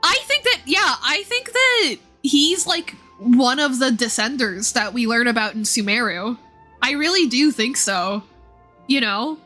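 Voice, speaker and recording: Female game streamer, casual and opinionated, lively swings in pitch, faint background music. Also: a little slow. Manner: animated, opinionated, swears casually. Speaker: livestreamer.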